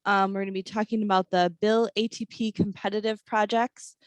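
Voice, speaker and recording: A woman's voice: mid-range voice, little pitch movement, a little fast, dry recording. A woman in her thirties with a froggy, scratchy voice from a cold, presenting a webinar over a video call with plenty of ums. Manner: friendly, many ums. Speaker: airport district office manager presenting a webinar. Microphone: computer mic on a video call.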